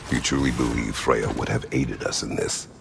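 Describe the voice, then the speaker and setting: An actor playing a stern, brooding middle-aged warrior god in a video game, terse and formal, with a very gravelly, rumbling voice. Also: male voice, steady tone, background noise, exaggerated voice. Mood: defensive, brooding. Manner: terse, formal, slow and weighty. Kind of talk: dramatic video game acting.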